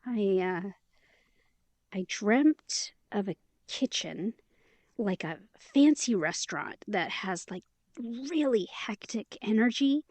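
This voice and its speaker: A woman's voice: medium pitch, animated, slow pace, darkish tone, no echo. An actress playing a chatty, eager, sweetly stubborn young woman in a romantic fantasy audio drama, speaking in a conversational, emphatic way. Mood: dreamy, hesitant.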